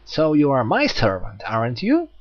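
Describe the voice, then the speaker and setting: A man voicing an anxious, scheming magus who speaks with urgent, demanding excitability in an audiobook story. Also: medium pitch, normal speed, dull audio. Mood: demanding, alarmed. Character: anxious, scheming male magus summoning a servant.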